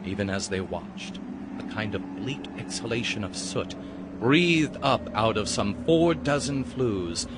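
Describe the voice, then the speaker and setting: A man narrating a dramatized audiobook in a deliberate, suspenseful storytelling style. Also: lowish voice, lively intonation, background noise. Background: prominent music.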